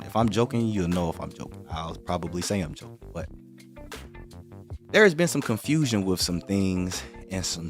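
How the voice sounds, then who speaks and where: A man hosting a livestream, chatting casually with viewers and explaining his points in a direct, slangy way. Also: sits low. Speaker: livestream host.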